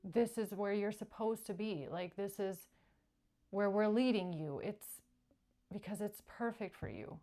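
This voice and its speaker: A woman hosting a tarot reading, speaking spontaneously to viewers and repeating short phrases for emphasis between pauses. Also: medium pitch, dark tone. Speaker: tarot reader and channeller, show host.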